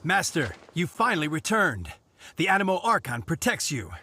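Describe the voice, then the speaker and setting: Flustered stammering knight. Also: male voice, fairly high pitch, very animated, normal speed, bright, forceful tone, slight background noise, full character voice. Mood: formal. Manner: nervous, stammering, fumbling for words. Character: flustered young male knight messenger.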